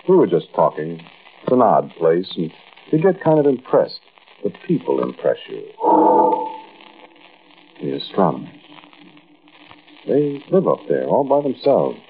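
A low, expressive American man confides a story as in a 1940s radio drama. He is folksy and musing, pausing and repeating himself, through a muffled, hissy old recording. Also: husky, exaggerated voice. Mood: musing, uneasy. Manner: conversational, hesitant, musing, with pauses and repeats. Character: folksy American man recounting an uncanny mountain outing. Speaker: narrator and lead character. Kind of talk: 1940s radio acting, first-person confiding monologue.

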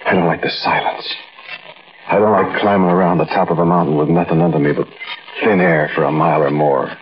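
An American man playing a frightened robber who narrates a night heist in a halting, conversational 1940s radio drama style. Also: deep voice, fairly even intonation, crowd noise, natural voice. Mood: fearful, weary. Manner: conversational, brooding, halting storytelling. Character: uneasy, frightened male bank robber recounting a night heist. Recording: muffled, noisy old-time radio recording.

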